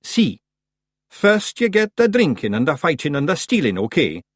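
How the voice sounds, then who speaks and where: Guy doing a bossy tiny scottish fairy boss, high squeaky brogue, bouncy delivery, dry close sound. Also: huge pitch swings, moderate pace, no room sound. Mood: lecturing. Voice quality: boastful, blustering. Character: brash small male fairy clan chief.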